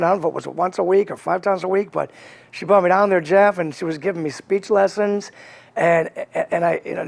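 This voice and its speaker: A man's voice: fairly high pitch, vocal fry. A man from Connecticut with a slight Boston accent, telling his life story as an interview guest in an earnest, rambling way with many restarts. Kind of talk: casual conversational interview.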